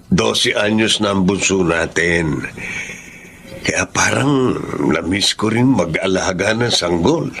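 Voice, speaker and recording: A Filipino actor playing a tender, expressive middle-aged husband and father figure in a Tagalog radio drama. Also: sits low, takes it slowly, vocal fry, pauses often, a little hiss or hum, full character voice. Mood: fond. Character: Romulo, doting middle-aged husband minding an abandoned baby.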